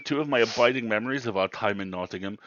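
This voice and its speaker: A man co-hosting a livestream on a close headset mic, telling anecdotes with wry, wordy, deadpan humour. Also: on the low side, fast talker, slight background noise. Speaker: livestream co-host. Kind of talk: casual storytelling banter. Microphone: close headset microphone. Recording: muffled headset audio, dull.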